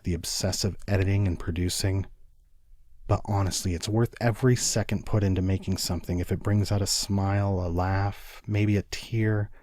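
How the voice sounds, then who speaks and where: A man's voice: sits low, a little mellow. A man speaking as podcast host, writer and producer, delivering a scripted outro.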